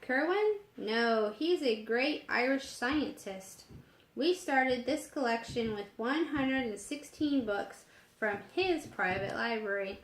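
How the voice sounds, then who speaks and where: A scholarly gentleman doctor from a storybook, voiced by a woman. He explains at a slow pace in a slightly high voice. The room is audibly echoey. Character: busy scholarly male doctor, proud of his library.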